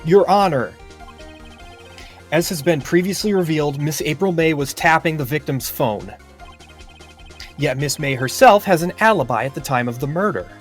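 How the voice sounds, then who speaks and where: A man's voice: a little high, animated, moderate pace, long pauses, faint music behind, natural voice. A man voicing a confident, needling young defense lawyer, questioning pointedly in an improvised video game dub. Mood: pressing, confident.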